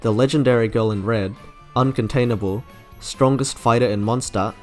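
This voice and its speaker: A man with a mid-pitched voice narrates a scripted video essay. He is articulate and enthusiastic, stringing out long descriptive sentences while faint music plays underneath. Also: moderate pace. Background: music playing under the narration.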